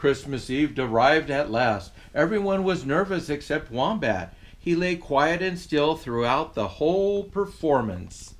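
An older man reads a children's storybook as Santa. His voice sits a little high for a man and swoops widely, with an expressive, jolly delivery. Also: unhurried, few pauses. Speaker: storyteller dressed as Santa Claus. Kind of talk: animated storybook reading for children.

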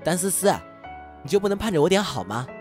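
Man acting earnest, animated high-school boy. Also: fast, soft background music. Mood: defiant. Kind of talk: amateur campus audio drama acting.

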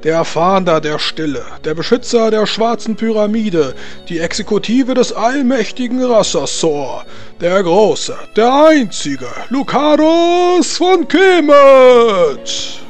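A man's voice: high voice, big pitch swings, faint music behind, voice pushed up, heavily acted. A man voicing a pompous, scornful tournament herald who declaims grandly in a tabletop game. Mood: building fanfare. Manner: pompous, declaiming, drawn-out shouted names. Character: bombastic, scornful male tournament herald hyping champions.

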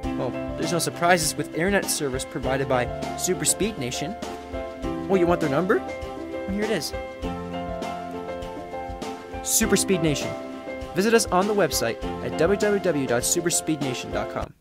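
A young man performing commercial voiceovers in a studio, with a hushed, intimate and measured delivery. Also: fairly high pitch, expressive. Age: young adult. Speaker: voice actor. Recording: music bed behind. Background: music beds.

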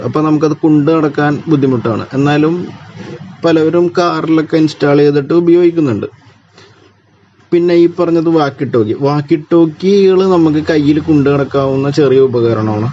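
A man with a Malayali accent gives an informal tutorial, explaining in a halting way with repeats and pauses. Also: mid-range voice, mellow. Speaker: presenter of a tech explainer. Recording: dull lo-fi audio with clear background noise.

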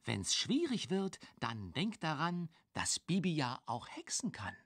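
A magical hint-giver for a children's witch game. The voice is breathy and husky and recites rhymes theatrically, swinging very widely in pitch. It is recorded dry. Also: wildly swooping pitch, measured pace, no echo, full character voice. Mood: mysterious, instructive.

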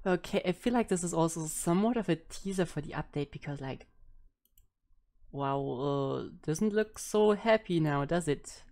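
A woman's voice: low voice, lively intonation, normal speed, a little mellow. A young woman giving casual reaction commentary as a streamer, informal and hesitant as she thinks aloud. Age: young adult. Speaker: reaction video host and streamer. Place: indoor room at home. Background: music.

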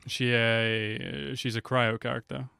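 A man's voice: mid-range voice, level tone, takes it slowly, creaky, sharp tone, smooth, faint music behind. A man in his twenties giving slangy, offhand reaction commentary into a close desk microphone. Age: 20s. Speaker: reaction video host.

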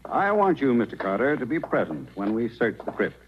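An actor playing a fussy, careful, formal archaeology professor in a 1940s radio drama. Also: male voice, mid-range voice, very animated, a little fast, lightly acted. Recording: muffled, noisy 1940s radio recording.

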